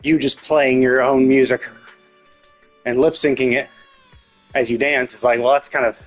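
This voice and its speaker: A man talks through a story game as a streamer, in a casual, rambling way. His voice sits at a middle pitch and he works out his thoughts aloud, with faint guitar music from the game behind him. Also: steady tone, normal speed. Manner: mild casual swearing.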